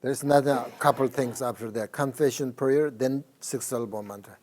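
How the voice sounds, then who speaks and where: A man with a Tibetan accent leading students in Buddhist practice, chanting mantras slowly and explaining with halting, filler-filled phrasing. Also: mid-range voice, a little mellow. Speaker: Buddhist teacher. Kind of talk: dharma teaching with mantra chanting. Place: teaching room with students.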